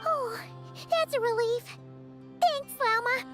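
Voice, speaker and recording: A woman voicing a tiny, excitable, anxious fairy companion in a video game, with a squeaky childlike voice. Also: takes it slowly. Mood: relieved, worried. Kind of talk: cartoonish video game acting. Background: background music.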